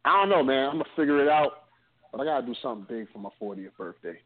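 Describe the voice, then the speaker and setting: A man's voice: mid-range voice, animated, racing, noisy background. Laid-back joking man in his thirties. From New Jersey. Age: 30s. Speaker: podcast co-host. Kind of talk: casual chat. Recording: tinny phone-like audio.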